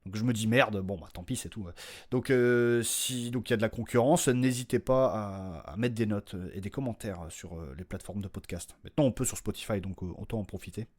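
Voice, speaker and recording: A man's voice: lowish voice, a little fast. A man hosting his own podcast, giving an unscripted, informal announcement in a rambling, slangy, self-deprecating way. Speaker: podcast creator and host.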